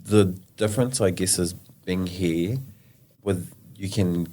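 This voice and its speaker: A man's voice: low voice, fairly even intonation, slow pace, dark tone. A young man from New Zealand, a podcast guest who answers hesitantly and laughs often. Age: young adult. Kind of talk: casual interview chat.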